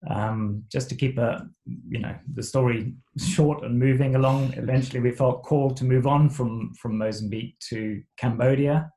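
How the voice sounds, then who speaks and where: A middle-aged man from Zimbabwe, raised speaking Shona and English, telling his life story as an interview guest in a halting way, with many fillers and restarts. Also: mid-range voice, fairly even intonation, mellow, poor audio. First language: Shona alongside English.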